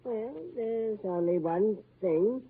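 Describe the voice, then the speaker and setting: A hesitant, husky railway conductor. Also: male voice, sits high, slow pace, heavily acted. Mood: deferential. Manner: deferential, hesitant. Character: deferential railway conductor, adult man. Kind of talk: 1940s radio acting. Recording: tinny old radio broadcast.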